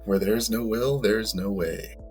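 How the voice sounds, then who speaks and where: A man with a fairly low, husky voice chats casually as a player on a game podcast. Soft music sits behind him. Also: normal speed. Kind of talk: casual chat.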